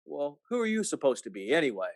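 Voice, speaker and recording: A troubled small-town husband played by a man in an old-time radio drama. His voice sits a little high and moves around expressively, halting and folksy with a sardonic, skeptical edge. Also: very animated. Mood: skeptical, puzzled. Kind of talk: 1940s-style radio play acting.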